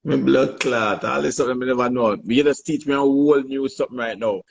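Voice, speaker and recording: A man's voice: medium pitch, a little fast, gravelly, no room sound, lo-fi sound. A man hosting a casual podcast chat, asking eager questions in patois-laced speech. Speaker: host.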